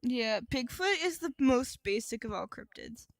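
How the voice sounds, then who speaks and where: A woman's voice: a little high, lively intonation, unhurried, no room sound, clean studio sound. A young woman with an American accent co-hosting a podcast in casual comedic chat, wry and blunt. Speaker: podcast co-host.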